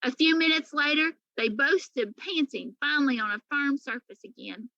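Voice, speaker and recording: A woman reading a children's story aloud in an animated, emphatic way, like a teacher to pupils. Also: sits high, normal speed, dry recording, lo-fi sound.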